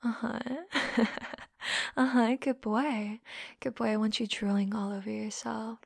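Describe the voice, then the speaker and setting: A young woman playing a teasing, domineering woman who mocks a listener, close to the mic, shifting between soft hushed whispers and louder taunts. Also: on the high side, fairly even intonation, a little slow, vocal fry, no room sound. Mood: mocking.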